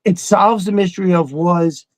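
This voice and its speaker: A middle-aged man co-hosting a casual fan chat, talking in an excitable, rambling, profanity-laced way. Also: high voice, normal speed, no echo. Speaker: podcast co-host.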